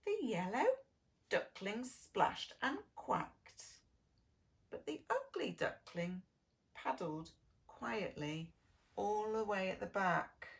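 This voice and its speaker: A woman reads to preschoolers as their teacher. Her pitch rises and falls freely and her pace is leisurely. She speaks in an exaggerated sing-song, pausing to put gentle questions to small children. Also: big pitch swings, gravelly. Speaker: preschool early years teacher reading a story. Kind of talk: children's storytelling, addressing preschoolers.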